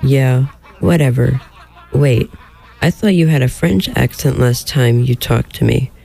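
An actor playing a flirty, exasperated bride in a comic radio play, with a dry manner. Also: male voice, medium pitch, unhurried, creaky, dark tone.

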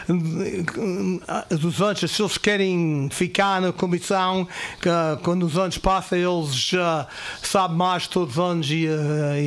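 Halting American man speaking hesitant Portuguese. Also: fairly high pitch. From California, third-generation Portuguese-American. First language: English. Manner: halting, searching for words, mixing English in. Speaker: festival committee guest. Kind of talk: radio interview. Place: radio studio.

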